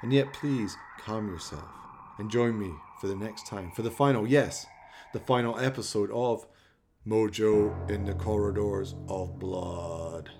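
A man's voice: lowish voice, unhurried, a little mellow, faint music behind. A man narrating a comic horror radio play in a melodramatic, ominous storytelling manner.

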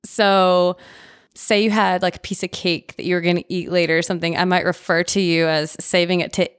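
Brief: woman, 30s, Nashville native, smooth clear voice, dry close sound, chatty guest. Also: lowish voice, brisk, no room sound. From Nashville, USA. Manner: relaxed, chatty, laughs easily. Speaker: podcast guest. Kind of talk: casual interview chat.

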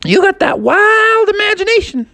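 A man voicing a bossy, sleepy young girl, a big sister who scolds in a high, exasperated voice. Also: very animated, normal speed, creaky, sharp tone, exaggerated voice. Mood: annoyed.

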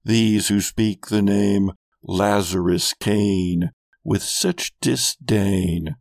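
A man's voice: on the low side, fairly even intonation, extremely slow, gravelly, darkish tone, dry recording, studio quality, exaggerated voice. A man voicing a scheming, contemptuous immortal villain in a grandiose, brooding monologue for a fiction podcast. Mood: disdainful, brooding.

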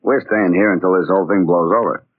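A man's voice: low voice, quick pace, a little hiss or hum, natural voice. An actor playing a cold, scheming stickup man, curt and commanding, with an American accent, in 1940s radio crime style. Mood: dismissive, coaxing. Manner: curt, commanding gangster slang. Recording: muffled, tinny 1940s radio recording.

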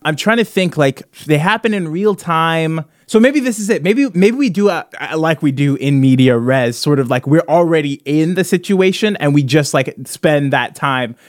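A man with a slightly high voice talks like a podcast host in full brainstorm. He rambles excitedly in casual speech, and the sound is close and dry. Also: moderate pace, hardly any pauses, no echo.

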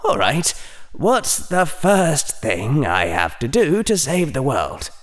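A clever, preoccupied boy of eleven, acted by a male narrator in a storybook. His voice is pitched up and varies freely, with a dry, politely articulate delivery. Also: huge pitch swings, takes it slowly, heavily acted. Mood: preoccupied, musing. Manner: dry, absent-minded, articulate. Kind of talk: audiobook character dialogue.

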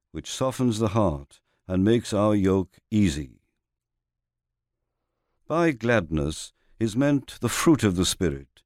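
A man reading a scripted expository sermon in a measured, formal manner with deliberate phrasing. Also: lowish voice, darkish tone, no room sound, clean studio sound. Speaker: reader of a historical sermon.

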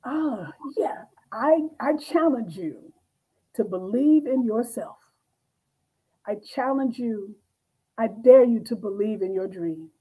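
A woman's voice: a little high, lively intonation, slow, warm tone, breathy edge, poor audio. Fervent woman in her sixties. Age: 60s. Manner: fervent, repetitive and rallying. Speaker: guest author and business coach. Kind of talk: motivational speaking.

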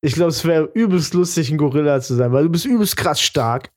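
A man with a somewhat high voice banters on a podcast, using youthful slang and breaking into laughter at his own jokes. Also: lively intonation, fairly quick. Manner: playful, slangy, laughs a lot. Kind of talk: casual chat.

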